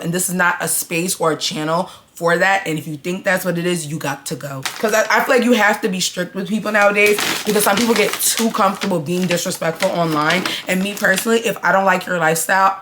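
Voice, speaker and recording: A young woman in her early twenties with a low voice, talking straight into her phone camera. She talks to her viewers in a blunt, emphatic way, as if chatting with friends. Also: a bit bright. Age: 20s. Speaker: vlogger. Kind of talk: casual vlog talk to camera.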